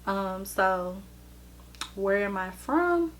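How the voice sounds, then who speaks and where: A woman in her thirties, from the American Midwest, answering viewer questions in a relaxed vlog with plenty of ums. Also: medium pitch. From Chicago and Detroit, USA. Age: 30s. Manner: slow, easygoing, with many ums. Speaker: vlogger and hairstylist. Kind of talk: casual Q&A vlog.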